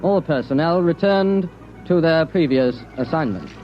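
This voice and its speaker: Serious old radio narrator, formal, slightly high voice, music behind, muffled. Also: male voice, lively intonation, measured pace. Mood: solemn. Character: solemn male documentary-style military narrator.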